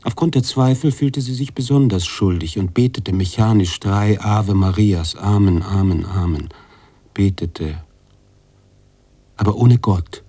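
A man playing a brooding, contemplative narrator-author in a literary radio drama, speaking deliberately with pauses between phrases. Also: lowish voice, warm tone, breathy edge, no room sound. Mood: somber, reflective. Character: brooding male narrator-author, contemplative, troubled by his heroine.

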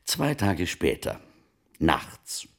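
Sly rich merchant from olden italy, radio play, super deep gravelly voice, formal wording, really expressive. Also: male voice, exaggerated voice. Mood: confiding, intense. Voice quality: gravelly, husky. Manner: formal archaic phrasing, deliberate storytelling. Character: wealthy, wily Italian merchant of old, defending himself. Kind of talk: German radio play acting.

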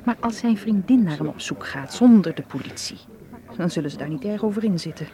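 An actress in a radio play playing a stubborn, determined young woman with a brisk, defiant manner. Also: medium pitch, lively intonation, warm tone, lightly acted. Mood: insistent. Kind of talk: radio drama acting. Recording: radio play with crowd murmur behind.

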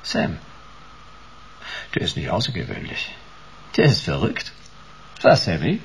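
A man's voice: deep voice, wildly swooping pitch, unhurried, gravelly, a little hiss or hum, exaggerated voice, poor audio. A middle-aged man playing a brusque, sardonic private detective in German radio play dialogue. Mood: cynical, dry. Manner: sardonic, curt, dry. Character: cynical, brusque male private detective in his office.

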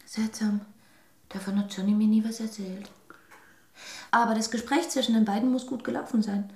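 A woman's voice: on the high side, fairly even intonation, normal speed, mellow, natural voice. A woman acting in a radio drama as a trusting, anxious young married heiress in grief. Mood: unsettled. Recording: roomy echo, faint hiss.

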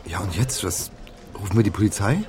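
A man's voice: fairly low pitch, fairly quick, music in the background, natural voice. An actor playing an awkward, eager young male trainee, hesitant, chatty and over-polite, in a naturalistic radio crime drama. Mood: uneasy.

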